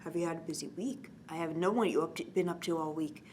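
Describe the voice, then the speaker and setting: A woman hosting a children's storytime, reading a bedtime story aloud in an expressive manner and talking gently to young listeners. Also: sits low, fast talker. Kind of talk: read-aloud bedtime story with chatty asides. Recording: clearly noisy background.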